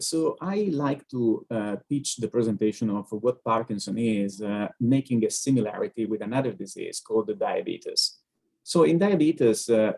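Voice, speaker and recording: A man with an Italian accent, a neurologist explaining things on a video-call webinar, pausing often with hesitant fillers. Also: medium pitch, vocal fry, warm tone, husky. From Italy.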